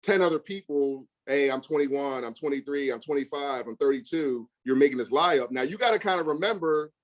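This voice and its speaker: A man's voice: mid-range voice, a little fast. Blunt, slangy man telling stories casually. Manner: blunt, slangy, emphatic, retells conversations in quotes. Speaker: real estate investor. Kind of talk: casual conversational storytelling.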